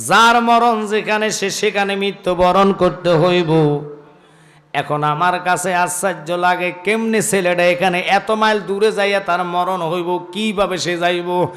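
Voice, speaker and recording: A Bangladeshi man preaching an Islamic sermon, telling stories in an impassioned, declamatory way with drawn-out, chanted phrases. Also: high-pitched. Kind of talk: Bengali waz sermon, dramatic storytelling. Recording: long reverb from loudspeaker system.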